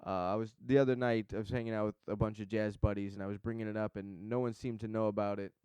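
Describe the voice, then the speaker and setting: Chill guy hosting a podcast, kinda rambly, low smooth voice. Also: flat delivery, quick pace, warm tone, no echo. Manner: laid-back, rambling and enthusiastic. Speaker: podcast host. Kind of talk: casual interview chat.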